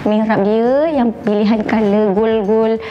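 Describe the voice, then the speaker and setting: A middle-aged woman with a Malaysian accent giving a casual, chatty tour of her house, often pausing to search for words. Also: fairly high pitch, steady tone. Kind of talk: casual guided home tour to camera. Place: indoors, rooms of a furnished house. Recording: slightly dull sound with faint background noise.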